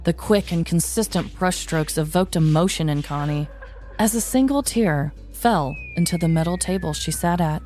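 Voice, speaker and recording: A woman's voice: fairly low pitch, moderate pace, darkish tone. A woman hosting a podcast, narrating a scripted story in a descriptive, mock-dramatic way. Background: music.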